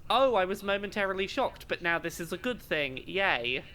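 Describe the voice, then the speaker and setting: A woman's voice: on the low side, lively intonation, normal speed, a bit bright, a little hiss or hum. A woman co-hosting a podcast, chatting casually in an emphatic, amused way as she gives commentary. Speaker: podcast co-host.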